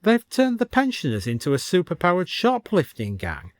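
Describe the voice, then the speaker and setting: A man's voice: high voice, wildly swooping pitch, moderate pace, no echo, studio quality, pitched above the performer's own voice. A man voicing a good-natured, impulsive garden centre man with casual salesman's patter in an audiobook. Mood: exasperated.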